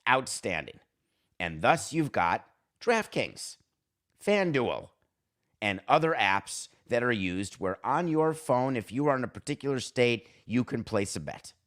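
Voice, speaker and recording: A man's voice: medium pitch, big pitch swings, a little slow, a bit bright. A man hosting a solo sports talk show, giving opinionated commentary in an emphatic, sardonic way with rhetorical questions. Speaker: sports talk podcast host.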